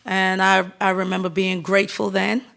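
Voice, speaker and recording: A woman coach in her thirties from the Bahamas, answering press questions in an upbeat, candid way with quick restarts. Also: mid-range voice, monotone, creaky, a bit bright. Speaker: head basketball coach.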